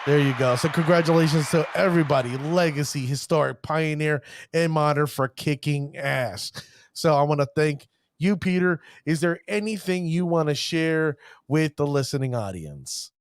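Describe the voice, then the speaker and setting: A man hosting a casual podcast, playfully giving shout-outs to players and stumbling over their names. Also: fairly high pitch, normal speed, no echo, studio quality. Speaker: podcast host.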